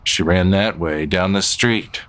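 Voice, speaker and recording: A man playing a gruff, weary, streetwise cab driver in an old-time radio comedy. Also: low-pitched, a little slow, background noise. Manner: blunt, streetwise.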